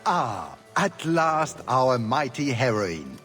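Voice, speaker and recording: A man's voice: mid-range voice, sing-song, slow, a bit bright. A theatrical nobleman of a video game, suave and flowery. His mid-range voice swoops extravagantly through sardonic courtesies. Music plays behind him. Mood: grandly gracious. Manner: urbane, flowery, mockingly courteous. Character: suave, theatrical, sardonic Orlesian duke hosting festivities. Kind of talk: video game cutscene acting.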